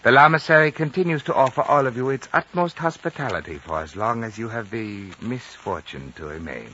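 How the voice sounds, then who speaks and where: An actor playing a polite, evasive Chinese lamasery official in a formal, measured 1950s radio drama style. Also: male voice, medium pitch, lively intonation, sharp tone. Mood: calm, polite. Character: courteous, evasive Chinese male lamasery official, soft-spoken diplomat. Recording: dull, noisy 1950 radio recording.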